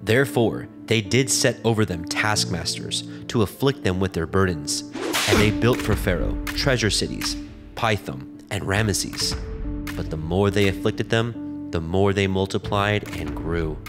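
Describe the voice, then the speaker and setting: A man narrating scripture from a script in a solemn, measured way. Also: low voice, expressive. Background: music.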